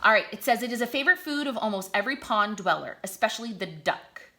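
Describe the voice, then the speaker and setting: A woman reading aloud to children like a teacher, enunciating deliberately and explaining words simply as she goes. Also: a little high, animated, pushed and bright.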